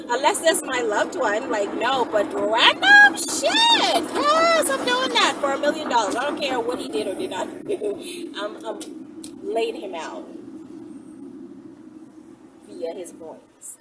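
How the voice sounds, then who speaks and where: A woman chatting casually as a channel host answering questions, animated and emphatic, often checking in with "right?". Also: fairly high pitch. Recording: distant mic, loud vehicle-like background noise.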